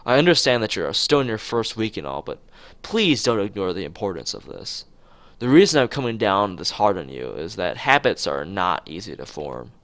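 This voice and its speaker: A man reading as a lively, lecturing male school nurse. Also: on the low side, normal speed. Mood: firm, lecturing. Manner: firm, lecturing. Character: stern but cheerful male school nurse. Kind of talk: visual novel dialogue read aloud.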